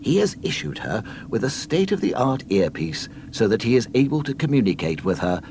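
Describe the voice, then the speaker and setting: A man narrating a scripted read-along storybook in a mock-solemn, deadpan comic manner. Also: mid-range voice, moderate pace, background noise.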